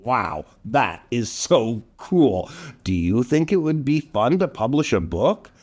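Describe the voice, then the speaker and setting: A man's voice: medium pitch, expressive, slow pace, darkish tone. An older man hosting a children's storytime show, theatrical and playful, speaking directly to young viewers. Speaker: children's storytime host and entertainer.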